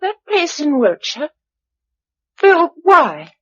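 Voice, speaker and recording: A woman's voice: high voice, sing-song, slow, full character voice. A woman playing a caring young wife and homemaker among survivors in a radio drama. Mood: concerned. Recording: dull lo-fi radio recording.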